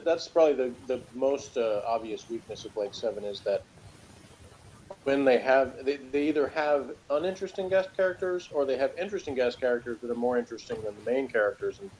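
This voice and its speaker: A man chatting casually as he reviews television, rambling and halting, often repeating himself. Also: mid-range voice, a little mellow, poor audio. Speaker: podcast reviewer. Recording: noticeable background noise.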